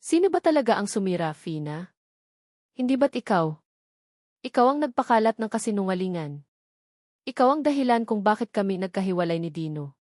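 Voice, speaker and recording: A woman's voice: medium pitch, sing-song, brisk, long pauses, a little mellow, dry recording, studio quality, lightly acted. Wronged, furious woman, voiced by a female narrator. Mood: accusing anger. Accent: Filipino. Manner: accusing, emphatic. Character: wronged, furious young woman confronting her rival.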